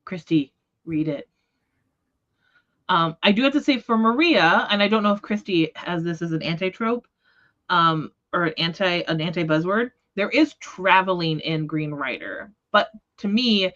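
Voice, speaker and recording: A woman's voice: on the low side, lively intonation, moderate pace, fairly bright tone, lo-fi sound. A woman hosting a casual book discussion, talking informally and often correcting herself mid-thought. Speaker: book readalong livestream host. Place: online livestream.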